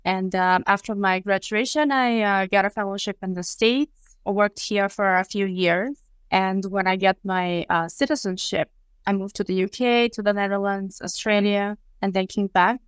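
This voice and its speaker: Woman, 30s, Bulgarian accent, clear voice, measured guest on a podcast. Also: mid-range voice, dry recording. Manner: measured, with frequent ums and uhs. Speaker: interview guest. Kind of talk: casual conversation.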